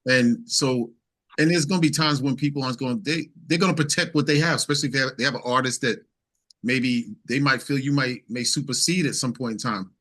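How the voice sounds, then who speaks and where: Bright-voiced man, emphatic interview guest. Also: medium pitch, fairly quick. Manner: emphatic, repeats phrases as he builds points. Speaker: interview guest, singer-songwriter. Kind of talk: casual interview conversation.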